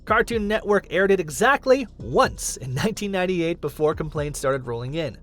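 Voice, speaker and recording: A man's voice: fairly high pitch, lively intonation, normal speed, a bit bright. A man reading scripted countdown narration as the host of a list video. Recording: music under the voice. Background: background music.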